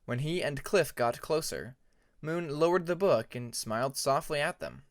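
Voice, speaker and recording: A man reading a fantasy story aloud as scripted narration, in a measured storybook manner. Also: fairly high pitch. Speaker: fan fiction audiobook narrator.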